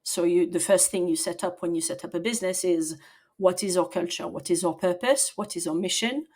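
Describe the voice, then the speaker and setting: A woman in her forties from the south of France, speaking as a podcast guest, thoughtful and quick to laugh. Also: lowish voice, a little mellow. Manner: thoughtful, laughs easily, restarts sentences. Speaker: guest, people and operations leader. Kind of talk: podcast interview.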